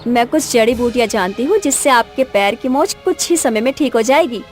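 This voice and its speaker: A sweet young forest maiden, played by a woman, with a very high, girlish voice. She sounds caring and emotive in a cartoon tale, over faint music. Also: animated, brisk, natural voice. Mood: caring, cheerful. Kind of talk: dramatized cartoon story dialogue.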